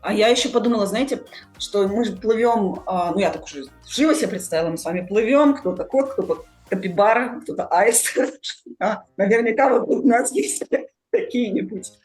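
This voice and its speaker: A woman's voice: medium pitch, moderate pace, a little mellow, faint music behind. A woman speaking conversationally as a podcast host.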